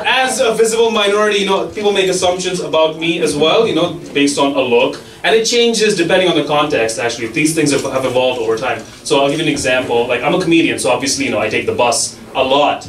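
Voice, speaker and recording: A young man doing a stand-up set on a club stage with a handheld mic, delivering mock-authoritative rules and quick asides. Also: on the high side, a crowd in the background. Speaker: stand-up comedian. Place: comedy club stage, audience laughing. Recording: echoey club from far off, loud audience laughter.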